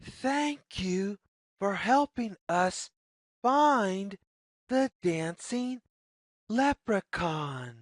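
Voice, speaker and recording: A woman reading a story aloud for young children, phrasing deliberately and putting questions to the listener. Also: mid-range voice, expressive, dry recording, studio quality. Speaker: children's read-aloud narrator.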